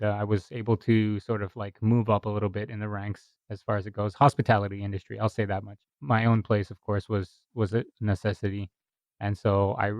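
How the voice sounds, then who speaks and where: A young man from Hawaii talks casually as a podcast guest, rambling a bit and laughing often. His voice sits fairly low. The recording is dry and close, with no room sound. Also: a little mellow, no room sound. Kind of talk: casual conversation.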